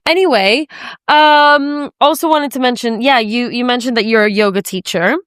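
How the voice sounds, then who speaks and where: A woman's voice: on the high side, moderate pace, fairly bright tone, smooth, no room sound, clean studio sound. A woman from Spain hosting a podcast in a casual, chatty way, often dropping "like" into her sentences. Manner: informal, chatty, peppered with "like". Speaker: podcast host. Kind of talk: casual conversational interview.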